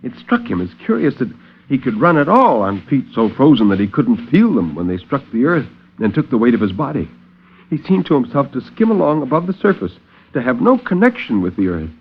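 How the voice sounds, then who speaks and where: Male narrator for vintage 1950s radio horror; grave, theatrical, widely varied pitch, slightly dull old recording. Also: sing-song, a little hiss or hum. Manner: measured, grave, theatrical storytelling. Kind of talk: dramatic 1950s radio horror story reading.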